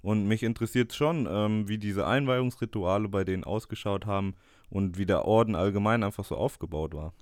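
A young man co-hosts a student podcast, easygoing and quick to laugh. His voice sits on the low side, recorded close and dry. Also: dry recording. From Bavaria, Germany. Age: young adult. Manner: relaxed, laughs easily. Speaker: podcast co-host, journalism student. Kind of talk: casual scripted podcast chat.

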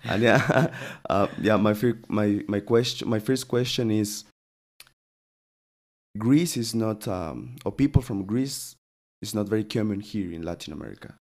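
A podcast host whose first language is Spanish, interviewing a guest in English. His voice is low, and his friendly questions come out in halting bits with repeated words. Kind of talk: casual interview.

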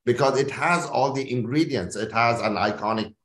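A man's voice: medium pitch, normal speed, a bit bright. A man from India speaking conversationally and enthusiastically as a chief executive being interviewed, often repeating phrases for emphasis. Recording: echoey room.

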